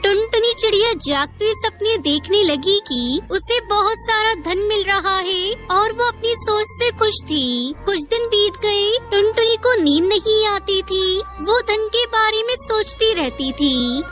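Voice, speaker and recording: A woman's voice: very high-pitched, pushed and bright. A woman narrating a scripted children's moral story.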